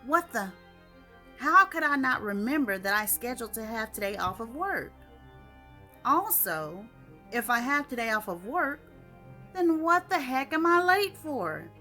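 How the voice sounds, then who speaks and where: Anxious, exasperated man played by a female narrator, bright emphatic short-story delivery. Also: on the high side, very animated, a little slow, faint music behind, voice pushed up, full character voice. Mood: baffled. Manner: emphatic, exclaiming self-questioning. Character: anxious, exasperated man puzzling over forgotten appointment.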